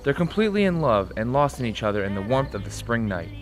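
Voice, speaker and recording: Male narrator, mid-pitched, scripted dramatic storytelling, music bed. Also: sing-song, quick pace. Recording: music behind the voice.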